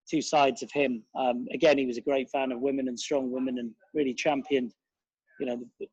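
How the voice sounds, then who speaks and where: A man's voice: mid-range voice, darkish tone, breathy edge, no room sound, highs cut off. A man giving a casual interview as a guest, reminiscing in a rambling, enthusiastic and digressive way.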